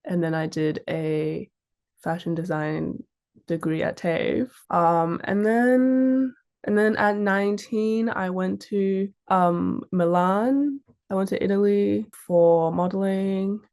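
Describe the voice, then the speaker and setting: A woman's voice: mid-range voice, takes it slowly, darkish tone, clear, resonant tone. A young woman with an Australian accent, chatting casually as an interview guest and telling stories in an informal way. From Sydney, Australia. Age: young adult. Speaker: fashion model, interview guest.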